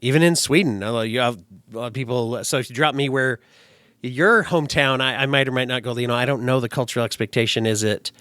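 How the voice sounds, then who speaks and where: A man's voice: medium pitch, quick pace, a bit bright, no echo, clean studio sound. Thoughtful male interview host. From United States. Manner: thoughtful, digressive, thinks aloud. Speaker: podcast host. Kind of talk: casual interview. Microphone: remote call.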